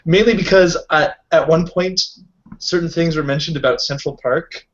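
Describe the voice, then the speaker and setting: A man's voice: a little high, measured pace. A man playing a tabletop roleplaying game, explaining things in casual, rambling chat. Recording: dull lo-fi online voice call.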